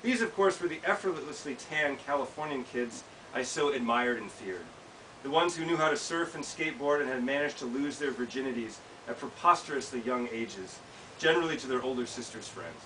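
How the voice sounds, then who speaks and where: A man's voice: mid-range voice, animated, brisk, sharp tone. An American man in his thirties, an author giving a live reading of his personal essay in a small indoor bookshop. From United States. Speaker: author reading from own work. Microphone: camera several feet away. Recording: distant mic, hissy background noise.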